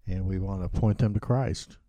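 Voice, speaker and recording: A man's voice: deep voice, steady tone, moderate pace, mellow, no echo. A man co-hosting a church podcast in casual chat, folksy and self-deprecating, often restarting his sentences. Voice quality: says he sounds like a hick. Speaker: podcast co-host.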